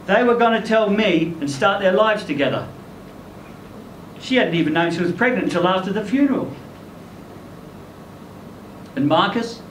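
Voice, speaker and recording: A man performing a first-person short story aloud at a live event, as its narrator. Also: high voice. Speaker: story reader performing in character as ageing rock singer. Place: indoor live literary event venue. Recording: echoey room, distant mic, faint noise.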